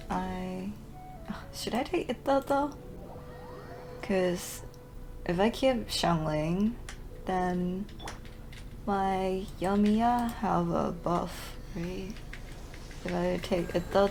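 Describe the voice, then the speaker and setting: Casting: female let's player, casual and reactive, slightly low register, leisurely pace. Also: takes it slowly, long pauses, a little mellow, a little hiss or hum. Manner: musing aloud, reacting to the game. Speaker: game streamer. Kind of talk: casual let's play commentary.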